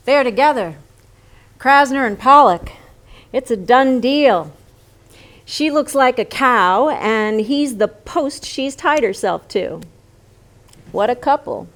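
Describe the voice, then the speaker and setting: An actress playing a frank, smitten woman painter in her thirties, in a theatrical staged reading with deliberate, intimate dramatic delivery. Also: high-pitched, expressive, fairly bright tone, full character voice. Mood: bitter pride.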